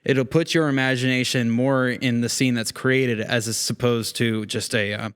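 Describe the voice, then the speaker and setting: A man's voice: mid-range voice, steady tone, moderate pace, fairly bright tone, clean tone. A man chatting casually as a podcast guest, rambling along with plenty of "you know" and "like". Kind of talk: casual chat.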